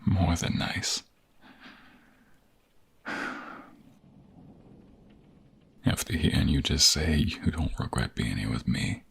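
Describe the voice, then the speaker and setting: A man playing a tender, protective young mobster in an intimate ASMR romance roleplay, speaking softly and haltingly in a husky, gravelly, breathy voice. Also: very deep voice, expressive, slight background noise, heavily acted. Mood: tender, earnest. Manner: soft, halting, gentle, intimate.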